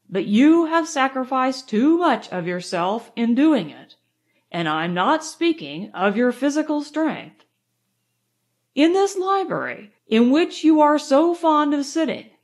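A woman reading aloud, voicing a calm, firm male nerve doctor who lectures in a measured way. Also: sits high.

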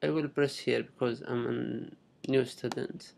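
A young man from Saudi Arabia with a Saudi Arabic accent, a native Arabic speaker, giving a halting, unscripted step-by-step screen tutorial in simple phrases. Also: medium pitch, fairly even intonation, creaky, a little mellow. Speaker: international student giving a tutorial. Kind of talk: unscripted screen-recording walkthrough.

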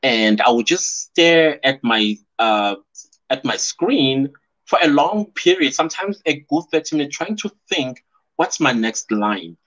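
A South African man in his thirties hosts a casual live stream from a small room at home. He is chatty and animated, breaks into laughter often, and talks at an ordinary mid pitch. The stream audio sounds a bit dull and lo-fi. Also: a little slow. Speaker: YouTuber hosting a live stream.

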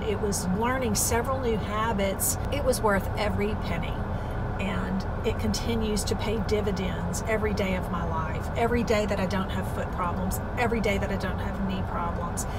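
A woman in her late fifties with a Southern American accent, talking earnestly to her camera from inside a parked car. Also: medium pitch. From Southern United States. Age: 50s. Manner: earnest and emphatic, repeats phrases for stress. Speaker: vlogger. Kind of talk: casual talk to camera. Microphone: phone camera on the dashboard. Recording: loud car and traffic noise.

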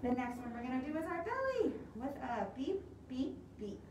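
A young woman with a high voice talks to preschoolers as a storytime leader, in an animated way with simple instructions. She is slightly muffled by a mask and recorded from a distance, with clear noise around her. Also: normal speed. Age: young adult. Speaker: children's librarian leading storytime. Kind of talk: preschool storytime talk, songs and read-aloud. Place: indoor library room with large windows. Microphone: camera a few metres away. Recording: roomy, distant mic, clear background noise.

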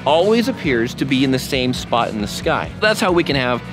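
A man's voice: mid-range voice, very animated, a little fast. A man hosting a vlog, chatting and explaining things off the cuff in an informal, joking way. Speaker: video host, broadcast engineer. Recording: background music over the voice.

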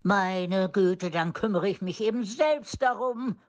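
A man's voice: high voice, slow, muffled recording, a little hiss or hum, pitched above the performer's own voice. A man voicing a flustered, indignant motorist in a hurry in a radio play.

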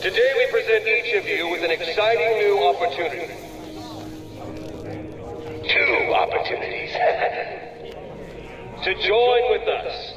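An actor playing a menacing, unhinged two-faced crime boss in a video game, with a gravelly, snarling voice and bombastic courtroom pronouncements. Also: male voice, high-pitched, heavily acted. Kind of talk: video game villain acting. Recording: distant, echoey, loud music behind.

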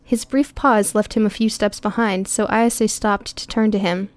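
Brief: female audiobook narrator, mid pitch, even and clear, close dry studio mic. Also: normal speed, no echo. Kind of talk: scripted narration.

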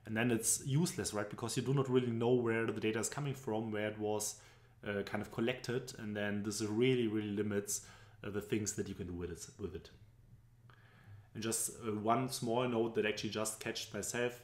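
A man's voice: on the low side, steady tone, normal speed. German-accented young man teaching in an echoey room. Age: young adult. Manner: explanatory, loosely phrased with frequent fillers. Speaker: business presentation instructor. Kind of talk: screen-recorded tutorial narration. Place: indoor room at home.

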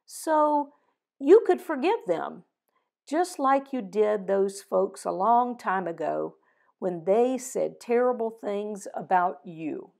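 An older woman reading a picture book aloud and closing with a prayer, gently voicing the dialogue, recorded over a video call at home. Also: medium pitch, lively intonation, creaky. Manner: slow, gentle storytelling with voiced dialogue. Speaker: storybook reader. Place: home living room with fireplace.

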